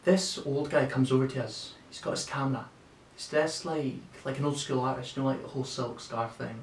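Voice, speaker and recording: A nineteen-year-old man playing a sweary, awestruck young lad, telling a story in a halting, conversational stage monologue. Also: fairly even intonation, natural voice. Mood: incredulous. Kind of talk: naturalistic contemporary stage monologue for an audition. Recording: echoey room, distant mic, noisy rumble.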